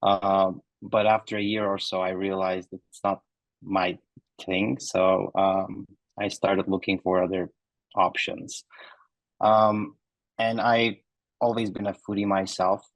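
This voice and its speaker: A man in his thirties from Turkey, answering interview questions in a relaxed way with many ums and uhs. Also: deep voice, slow, no echo, muffled recording. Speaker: founder and guest. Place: remote recording.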